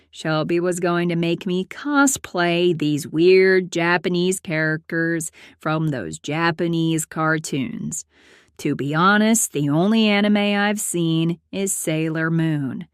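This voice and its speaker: A woman playing a prim, aloof accountant in her late twenties who narrates her own story in a deadpan, formal way. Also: fairly low pitch, smooth, no echo, studio quality. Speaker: host and narrator of a character story series. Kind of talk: scripted first-person storytelling.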